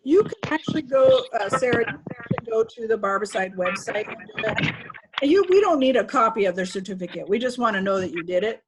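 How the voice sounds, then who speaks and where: A woman's voice: a little high, lively intonation, a little fast, background noise. A woman trainer giving an informal, instructive walkthrough to staff over a video call. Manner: instructive, conversational. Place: video call with screen sharing.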